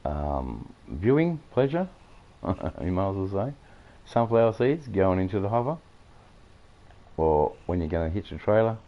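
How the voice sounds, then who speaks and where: A man with an Australian accent, croaky from coughing, rambling through farming-game commentary with many halting fillers. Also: deep voice, sing-song, highs cut off. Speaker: gameplay streamer.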